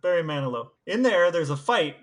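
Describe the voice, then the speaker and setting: A man's voice: fairly high pitch, big pitch swings, a little fast. A man chatting casually as the co-host of a podcast. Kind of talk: casual chat.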